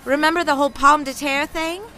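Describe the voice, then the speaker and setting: A woman's voice: high-pitched, fairly even intonation, brisk, sharp tone, a little hiss or hum. A woman playing a clever, self-assured young girl detective in a comic audio drama. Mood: self-satisfied.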